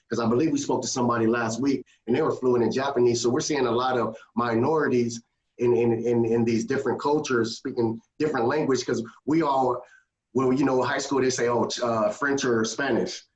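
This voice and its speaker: A man's voice: medium pitch, steady tone, darkish tone. Man, echoey and far from mic. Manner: rambling, halting questions. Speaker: podcast co-host. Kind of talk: casual interview chat.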